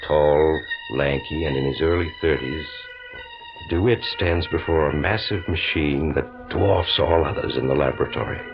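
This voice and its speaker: Very low male voice, ominous mystery storyteller, measured, 1950s radio drama, loud music, dull old recording. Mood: measured, suspenseful. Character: sinister, genial male storyteller of strange, terrifying tales. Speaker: mystery anthology narrator.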